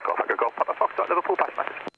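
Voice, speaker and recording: A man working as an air traffic controller, reading out procedural clearances over aviation radio. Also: very fast. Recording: muffled, noisy airband radio.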